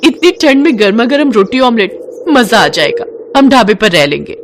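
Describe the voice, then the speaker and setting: A man's voice: very high-pitched, sing-song, moderate pace, noisy background, voice pushed up, exaggerated voice. A man voicing a cheerful tourist wife from the city, delighted by hot food in the cold. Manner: delighted, breezy.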